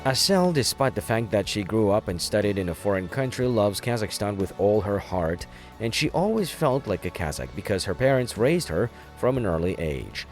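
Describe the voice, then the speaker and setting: A man's voice: on the low side, big pitch swings, moderate pace. A man reading scripted documentary narration and voice-over translation. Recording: music behind the voice. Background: background music.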